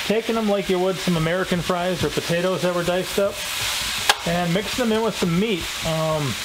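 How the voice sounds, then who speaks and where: A man's voice: high voice, heavy background noise. Laid-back man cooking over sizzling pans. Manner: relaxed, rambling, explains as he cooks. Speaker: homestead cooking vlogger. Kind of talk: casual cooking demonstration. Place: small home kitchen, indoors. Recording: clear kitchen sizzle behind voice. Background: pan sizzling and frying.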